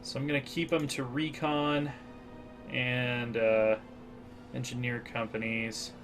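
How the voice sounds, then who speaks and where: A man's voice: mid-range voice, flat delivery, takes it slowly, a bit bright, faint music behind. A man with an American accent hosting a strategy game playthrough, talking it through casually with many fillers. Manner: thinks aloud with fillers. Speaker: gaming channel host.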